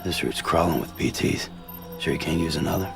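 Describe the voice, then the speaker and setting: An actor playing a gruff, reluctant loner deliveryman in a game drama, terse and blunt with a gravelly voice. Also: extremely low pitch, fairly quick. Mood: blunt, grim. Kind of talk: dramatic video game cutscene acting. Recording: loud cinematic music underneath.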